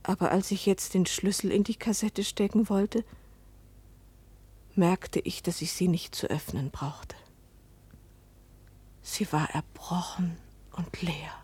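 A woman's voice: lowish voice, fairly even intonation, takes it slowly, long pauses, warm tone, husky, whispered, exaggerated voice. An actress playing a middle-aged mother who tells her life story in a restrained, wistful, intimate way in a radio drama. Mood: wistful, subdued. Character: weary, hopeful Italian mother narrating her life's disappointments. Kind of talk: radio drama first-person narration and dialogue.

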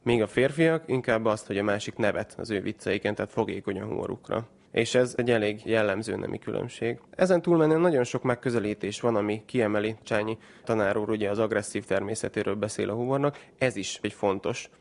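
A man with a low, heavily gravelly voice explains research at length in an interview. His delivery is measured and academic, with long chained sentences. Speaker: researcher guest.

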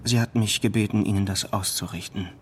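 An actor playing a sober, matter-of-fact university colleague in a radio drama, speaking with reserve. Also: male voice, fairly low pitch, steady tone, a little fast, a little hiss or hum, lightly acted.

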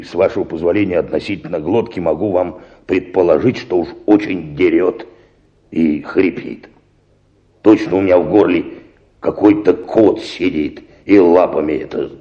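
An actor playing a wordy, obsequious, aggrieved church choir singer in a radio play. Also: male voice, sits low, warm tone, highs cut off, natural voice. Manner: rambling, clumsily formal, hesitant phrasing.

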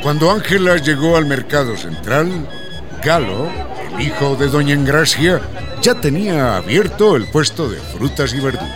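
An actor narrating a radio soap as its storyteller, in a dramatised scripted style. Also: male voice, fairly high pitch, sing-song, moderate pace. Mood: wry storytelling. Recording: music behind the voice.

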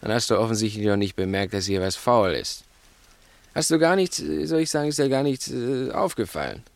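An actor playing a brooding, taunting, talkative crook in a 1960s German radio play, musing theatrically and condescendingly. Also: male voice, medium pitch, expressive, a little fast, creaky, no room sound. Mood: taunting, probing.

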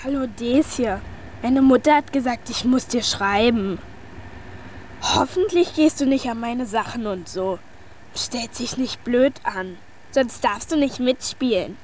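A woman's voice: on the high side, a little slow, darkish tone, slight background noise, lightly acted. A woman playing a grumpy, bossy schoolgirl cousin in a children's radio play, reading out a grudging letter. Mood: sullen, snotty.

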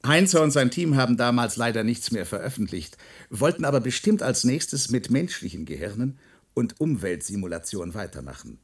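A middle-aged project leader in a science-fiction radio drama. His voice sits at a medium male pitch. He lectures deliberately and with assurance, with a slightly evasive streak. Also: very animated. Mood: self-assured, explanatory. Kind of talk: German science-fiction radio play acting.

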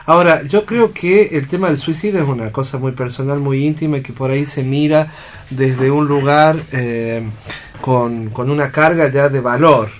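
An Argentine man reflecting aloud as a radio panelist, halting as he thinks and often checking for agreement. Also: medium pitch, a little hiss or hum. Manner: thoughtful, halting, seeks agreement with tag questions. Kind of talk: reflective unscripted discussion.

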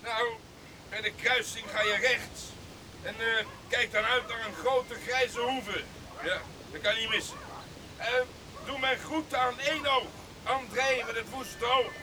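High-voiced, sly countryman outdoors. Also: animated, unhurried, exaggerated voice. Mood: slyly amused. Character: sly, cackling local countryman giving directions. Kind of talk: Dutch radio drama acting. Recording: noisy outdoor ambience behind.

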